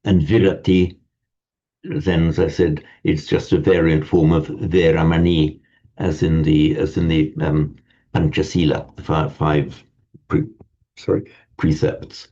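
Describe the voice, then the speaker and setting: Male language teacher, deep gravelly voice, mellow tone, halting delivery, muffled lo-fi recording. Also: flat delivery. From parents from Ireland. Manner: hesitant, repeats and restarts words. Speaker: Sanskrit teacher. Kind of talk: informal class lecture. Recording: dull lo-fi sound.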